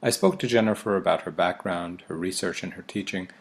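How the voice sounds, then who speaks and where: Man, interviewer, scripted intro, measured, lowish voice.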